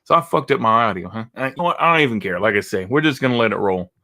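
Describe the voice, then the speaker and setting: Texan man, lowish voice, rambles and sneers through casual reaction commentary. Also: expressive, rapid-fire, sharp tone. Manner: rambling, mocking, frequent restarts. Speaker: reaction video host.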